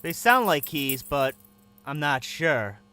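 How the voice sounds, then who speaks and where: A man's voice: on the high side, sing-song, takes it slowly, sharp tone, smooth. A man narrating in first person as a captive, with a tense, wary inner voice.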